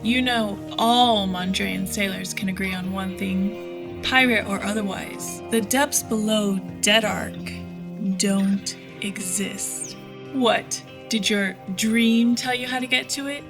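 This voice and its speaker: A woman's voice: medium pitch, slow pace, gravelly. An actress playing a sly, hard-bitten female bounty hunter in an audio drama, cool, sardonic and taunting. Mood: skeptical, menacing. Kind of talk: dramatic audio fiction acting. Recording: music under the voice.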